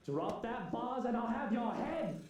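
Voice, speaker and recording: An actress playing a haughty, bad-tempered overseer scolding workers in a visual novel game, with a snapping, scornful manner. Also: female voice, on the high side, expressive, measured pace, a little mellow. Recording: distant, very echoey room, faint noise.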